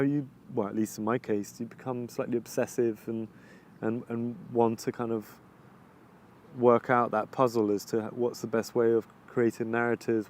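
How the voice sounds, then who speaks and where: A man with an English accent, answering interview questions in a halting, reflective way, often restarting sentences while he searches for words. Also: on the low side, a little mellow, a little hiss or hum. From England. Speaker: videographer interviewee. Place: outdoors overlooking the ocean.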